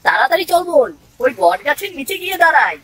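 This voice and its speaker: A woman's voice: sits high, very animated, fast talker, a bit bright. A woman voicing a brave, practical village boy, a bossy and reassuring older brother in a children's cartoon.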